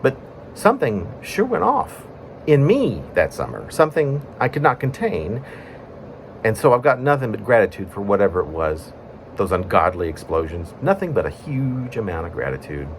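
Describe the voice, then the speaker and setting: A man reading his own poem in a conversational storytelling way, breaking it into short phrases with pauses. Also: on the low side, sing-song, a little hiss or hum. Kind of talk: spoken-word poetry reading, conversational storytelling.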